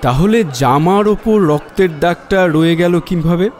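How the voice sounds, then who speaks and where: An actor playing a polite, sharp, self-assured amateur detective in an audio drama, speaking in a measured, quietly probing way. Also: male voice, sits high, slight background noise. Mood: reasoning, assured.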